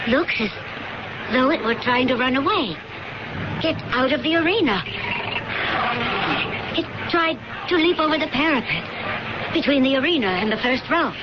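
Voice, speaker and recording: A woman's voice: sits high, animated, normal speed, long pauses. An actress playing an anxious, outspoken Roman noblewoman in a radio drama, with theatrical, breathless delivery. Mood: tense, awed. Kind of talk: 1970s radio drama acting. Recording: muffled, very noisy old radio broadcast.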